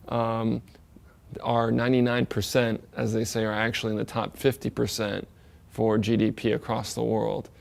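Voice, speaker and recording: A young man from Egypt, a community organizer, speaking in an interview in a thoughtful, measured way with fillers and restarts. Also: medium pitch, gravelly. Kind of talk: interview monologue.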